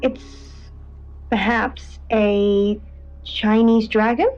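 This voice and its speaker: An actress playing a polite, tentative co-host of a spooky radio show, chatting in an understated, natural way. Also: female voice, fairly high pitch, fairly even intonation, extremely slow, darkish tone. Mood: hesitant, curious. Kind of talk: conversational late-night radio banter. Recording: dull lo-fi radio sound over music. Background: background music.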